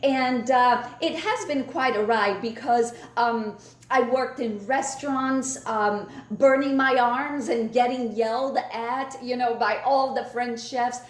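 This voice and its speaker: A woman's voice: fairly high pitch, slow. A middle-aged woman from Brazil with a Brazilian accent and native Portuguese, talking with passion as an interview guest, telling her story conversationally. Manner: enthusiastic, passionate. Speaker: guest chef and cookbook author. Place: indoors on a video call. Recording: roomy, echoey video call.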